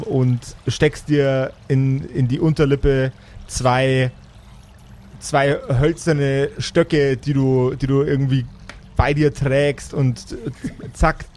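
A man narrating an improvised tabletop game as game master, halting as he searches for words. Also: medium pitch, darkish tone, a little hiss or hum.

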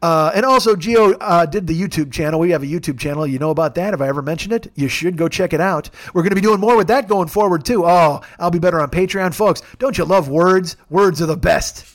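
A man in his forties hosting a podcast alone, talking in a rambling, jokey, self-deprecating way with a gravelly voice. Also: a little high, brisk, few pauses. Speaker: podcast host. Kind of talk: casual solo monologue.

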